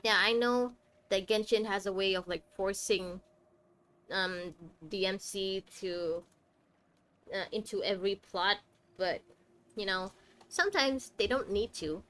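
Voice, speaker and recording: A woman's voice: medium pitch, unhurried, pauses often, fairly bright tone. A woman giving casual, chatty live playthrough commentary, playfully talking back to the characters. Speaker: game streamer. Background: faint game music.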